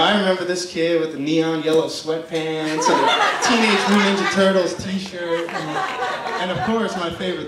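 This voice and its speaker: A young man's slightly high voice comes from a distance over an echoing PA, with clear noise around him. He delivers an informal best man's toast, halting as he goes, joking and teasing with affection. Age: young adult. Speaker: best man giving a wedding toast. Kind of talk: informal joking wedding speech. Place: large wedding reception tent at night. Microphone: handheld PA mic, picked up by distant camera. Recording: distant echoey PA sound, noisy guest-filled tent. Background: noisy wedding guests.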